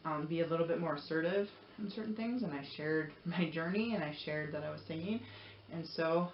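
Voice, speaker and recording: A woman's voice: sits low, fairly quick. A woman talking casually to viewers in an earnest, encouraging, rambling way, like a motivational vlogger. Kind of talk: casual personal talk to viewers. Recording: roomy echo, dull lo-fi audio, noisy.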